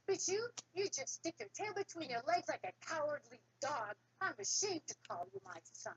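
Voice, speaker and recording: A woman's voice: high voice, lively intonation, moderate pace, fairly bright tone, a little hiss or hum, pitched above the performer's own voice, low-quality recording. A woman voicing a boastful father of about sixty who scolds his sons for cowardice in a told folktale. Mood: scornful.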